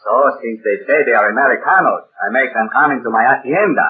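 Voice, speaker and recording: An actor playing a proud, sorrowful old rancher with a Spanish accent and formal, broken English in a 1930s radio drama. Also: male voice, mid-range voice, expressive, fast, close to an everyday voice. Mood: earnest storytelling. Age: older. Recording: tinny, band-limited 1930s radio recording.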